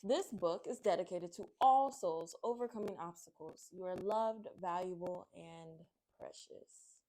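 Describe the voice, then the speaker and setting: A woman's voice: on the low side, measured pace, dark tone, low-quality recording. A woman hosting a children's read-aloud, addressing listeners as friends and reading picture books expressively.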